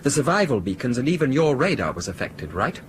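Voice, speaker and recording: Guy acting curious techy sleuth type, radio drama, deliberate but animated melody, slight noise in the back. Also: mid-range voice, close to an everyday voice. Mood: analytical. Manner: inquisitive, deliberate. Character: curious, analytical male passenger sleuthing out technical clues.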